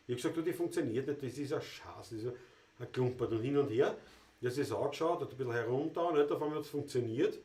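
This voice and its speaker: A man with a Viennese accent, a Wienerlied musician hosting a livestream, telling rambling stories with stammered restarts. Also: on the high side. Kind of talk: casual banter and singing. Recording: roomy sound with guitar.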